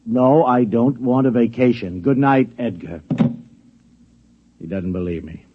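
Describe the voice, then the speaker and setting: An American actor playing a flustered, middle-aged lab security chief in a 1950s radio drama, stammering, insistent and coaxing. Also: male voice, mid-range voice, unhurried, close to an everyday voice. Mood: flustered, weary. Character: flustered, pleading security chief of an atomic laboratory. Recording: muffled old radio recording.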